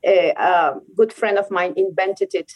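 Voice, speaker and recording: A woman's voice: mid-range voice, measured pace, rough recording. Venezuelan woman chatting with laughs. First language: Spanish. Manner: laughs often, restarts sentences in careful non-native English. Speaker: guest, rock climber. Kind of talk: casual interview chat.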